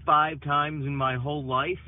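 A man's voice: on the high side, flat delivery, a little slow, dry recording, distant mic. A man in a car giving an upbeat, slangy birthday greeting. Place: inside a car. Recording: thin phone-like audio from a car.

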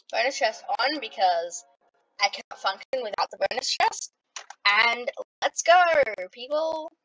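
A woman's voice: on the high side, no room sound, rough recording. Chatty Australian woman gaming. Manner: rambling, many ums, bursts of playful excitement. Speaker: gaming vlogger. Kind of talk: casual game commentary.

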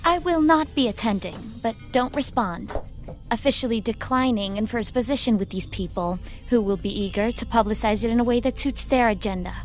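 A woman playing a brisk, guarded corporate spokeswoman, clipped and direct in naturalistic audio drama style. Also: fairly high pitch, soft background music, natural voice. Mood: businesslike, guarded.

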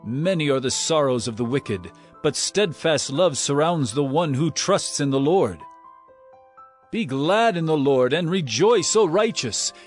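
Male narrator, dramatized Bible reading, lively intonation, soft music underneath. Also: fairly high pitch, a little slow. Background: faint music.

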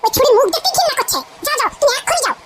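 Casting: quarrelsome, stubborn village cartoon female, piercingly high voice, quick melodramatic delivery. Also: expressive, soft background music. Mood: angry, agreeing. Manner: sharp-tongued, melodramatic. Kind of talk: exaggerated comedy cartoon dialogue.

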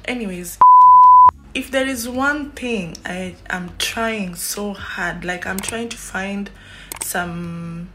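A woman's voice: medium pitch, slow, a little hiss or hum, poor audio. A young Kenyan woman with a Kenyan accent, chatting casually to her phone camera in a small room, rambling with many fillers. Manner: chatty, rambling, lots of fillers and animated hand gestures. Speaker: YouTuber. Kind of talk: casual vlog chat to camera. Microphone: phone at arm's length.

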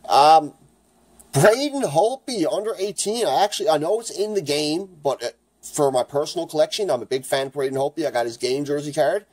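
A man with a raspy voice from the flu, chatting casually as he opens hockey card packs in his home kitchen. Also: on the high side, fairly quick, poor audio. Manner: chatty, rambling, excited at good pulls. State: raspy, getting over the flu. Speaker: hockey card channel host. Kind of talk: casual card-opening vlog.

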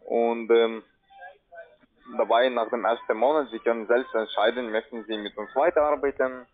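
Male phone salesman, medium pitch, foreign accent, halting and repetitive delivery, thin telephone sound. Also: fairly even intonation. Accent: foreign accent in German. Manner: halting, broken non-native German with repetitions. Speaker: telephone sales caller pitching trading investments. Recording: narrow, tinny phone line.

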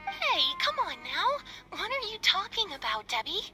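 Breezy female pal for a game, high, animated, cheerful, music behind. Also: normal speed, heavily acted. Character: cheerful carefree female friend, chirpy and dismissive. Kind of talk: English video game dialogue.

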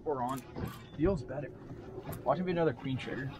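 A young man hosting a fishing vlog, chatting excitedly to viewers as he fights fish on a small boat at sea, recorded on an action camera. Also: mid-range voice, fairly quick. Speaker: fishing channel host. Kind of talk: casual fishing vlog chat. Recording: wind and boat noise outdoors.

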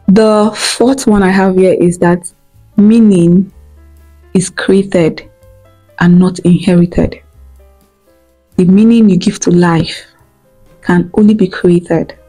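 A woman's voice: lowish voice, steady tone, takes it slowly, long pauses, mellow, faint music behind, low-quality recording. A young Nigerian woman in her twenties with an Igbo accent, giving an earnest motivational talk to camera on a lapel mic, repeating her points and checking in with "okay?". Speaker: vlogger. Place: indoor room at home.

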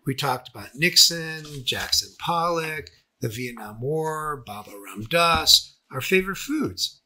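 A man reading a scripted first-person memoir as its narrator, with a husky voice. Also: a little high, lively intonation, takes it slowly, sharp tone. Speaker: author and narrator.

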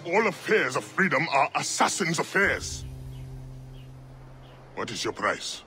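An actor playing a proud, stern assassin leader in a video game, speaking in a measured, formal and sardonic way. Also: male voice, fairly high pitch, big pitch swings, heavily acted. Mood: earnest, commanding. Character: proud, stern freedom-fighter assassin leader, dry-humoured. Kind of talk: dramatic video game acting. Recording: loud music behind.

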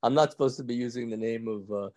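A man's voice: mid-range voice, fast, a little mellow. An older man with a New York accent, a rabbi chatting and joking with his class on a video call, rambling and laughing often. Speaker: rabbi teaching an evening class. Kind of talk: casual chat with class members. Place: indoors at home. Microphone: video call from a phone or webcam.

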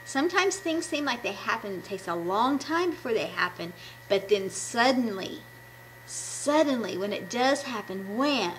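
50s lady doing a kids devotional, excited delivery, webcam at home, bit of noise. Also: a little high, moderate pace, rough recording. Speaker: children's ministry puppet presenter. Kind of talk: devotional talk to children. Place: small room at home. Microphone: webcam at arm's length.

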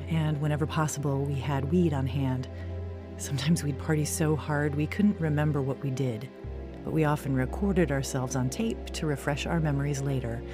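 A woman's voice: deep voice, lightly acted. An actress playing a troubled, searching young woman in an audio drama, earnest and conversational. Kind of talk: audio drama narration and acting.

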